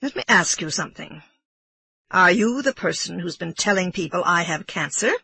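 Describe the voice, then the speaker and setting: A woman's voice: lowish voice, lively intonation, normal speed, vocal fry, exaggerated voice. A woman voicing an irritable middle-aged man who is ill, questioning sharply. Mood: probing. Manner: sharp, interrogating.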